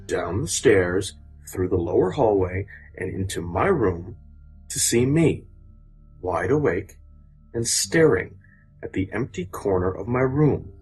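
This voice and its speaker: A man telling a scripted personal story theatrically, with suspenseful pauses. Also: lowish voice, husky, rough recording. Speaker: storyteller, host of the show. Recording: voice over a music bed. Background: background music.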